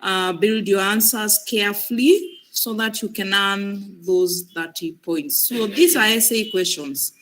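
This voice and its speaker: Woman with a cold walking students through an exam, voice kinda breaking, talks slow and patient, says stuff twice. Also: mid-range voice, fairly bright tone, slight background noise, low-quality recording. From Kenya. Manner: patient, instructive, repeats points. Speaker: online exam trainer. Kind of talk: live training demonstration.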